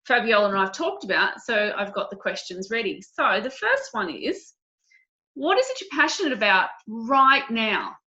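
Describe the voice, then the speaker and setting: An upbeat woman hosts an interview with a bright, sharp-edged voice that sits a little high. She speaks in an encouraging, casual way. The audio is dull and muffled. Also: sing-song, normal speed. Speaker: event host and interviewer.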